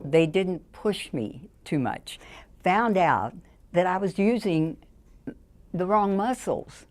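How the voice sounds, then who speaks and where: An older woman, chatting lively and laughing often as she tells her story as a patient. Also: deep voice. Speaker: patient giving a testimonial. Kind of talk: personal testimonial.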